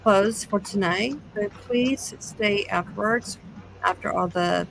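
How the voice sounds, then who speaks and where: A woman chatting casually as a podcast host, speaking in a halting way. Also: mid-range voice, vocal fry, noisy background. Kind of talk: casual chat, show sign-off.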